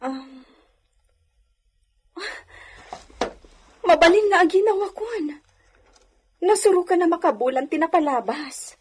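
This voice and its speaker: A woman's voice: very high voice, animated, normal speed, darkish tone. A woman playing a young married lady of the house in an audio drama, plaintive and anxious, with a sighing delivery. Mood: weak, plaintive. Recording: noisy track with clicks and insects.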